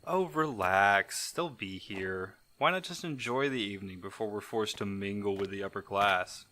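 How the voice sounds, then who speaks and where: Bright-voiced flippant young agent, acted by a man. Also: fairly low pitch, moderate pace. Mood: teasing, relaxed. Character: easygoing, flippant male team member. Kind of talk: audio drama acting.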